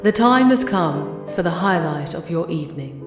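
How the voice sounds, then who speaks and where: An echoing, fairly low woman's herald voice, formal and grand. She makes ceremonial announcements to a vampire court in an audio drama, with long hall reverb and music behind. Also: animated, normal speed. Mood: ceremonial, ominous.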